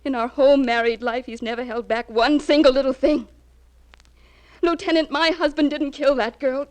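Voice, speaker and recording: An actress playing a trusting, anxious, fiercely loyal wife in a 1950s radio crime drama, earnest and emotional in delivery. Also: female voice, sits high, fairly even intonation, moderate pace, a little mellow, lightly acted. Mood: defiant, insistent.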